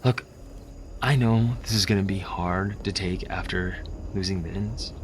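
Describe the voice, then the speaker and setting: An actor playing an apologetic, resigned guild representative in a science fiction audio drama. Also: male voice, low voice, measured pace, a little hiss or hum.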